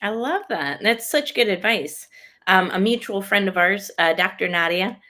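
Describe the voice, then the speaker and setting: A woman's voice: mid-range voice, expressive, moderate pace, bright, forceful tone. A woman hosting a conversational interview in an effusive, encouraging manner. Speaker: interview host.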